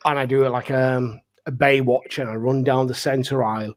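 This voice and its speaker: A man's voice: mid-range voice, steady tone, normal speed, background noise. A man chatting casually as a pantomime performer on a panel, speaking emphatically in short bursts.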